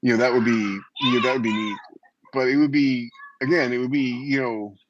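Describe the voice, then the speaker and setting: A man's voice: medium pitch, steady tone, brisk. Through a dull, lo-fi microphone with faint noise, a man chats casually as a podcast co-host. He has a mid-range voice and circles back over his phrases as he works out a thought. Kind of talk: casual panel chat about writing fiction.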